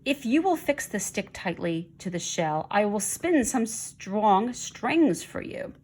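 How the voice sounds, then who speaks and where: A woman's voice: mid-range voice, sing-song, takes it slowly, darkish tone, breathy edge, exaggerated voice. A woman voicing a thin, wispy female spider from a children's storybook, kindly and clever as she gives advice. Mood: instructive.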